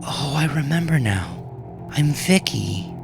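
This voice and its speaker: A man's voice: fairly high pitch, lively intonation, vocal fry, over music, pitched above the performer's own voice, heavily acted. A dazed and fragile captive woman, acted out by a male narrator, speaks in a raised voice with a childlike confusion. She halts and stammers as if afraid, in the manner of a horror audiobook character. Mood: confused, insistent. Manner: halting, stammering.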